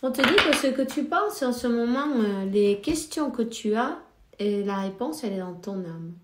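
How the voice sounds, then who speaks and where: A woman's voice: fairly high pitch, animated, echoey room, a little hiss or hum. A woman with a cold giving casual spiritual advice as a card reader, hesitant and full of fillers. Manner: slow, hesitant, many fillers. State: has a cold.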